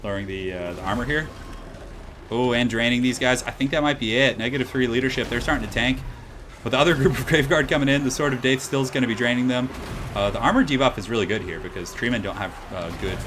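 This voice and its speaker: A man casting a strategy game tournament live and chatting with viewers, his slightly raspy voice getting hoarse, with excitable loud outbursts. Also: mid-range voice. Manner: excitable, breaks into loud exclamations.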